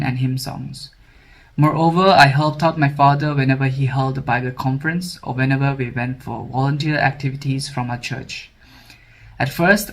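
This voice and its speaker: A young man from Sri Lanka reads a prepared testimony as a scholarship candidate. His delivery is formal and steady, with a mid-range voice, like a text read aloud. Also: mid-range voice. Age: 20s.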